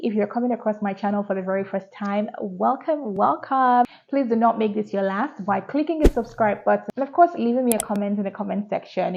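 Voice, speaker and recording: A woman's voice: mid-range voice, dull audio. A woman, talking candidly to her vlog audience and asking rhetorical questions. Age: 30s. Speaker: vlogger. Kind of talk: casual reflective talk to camera.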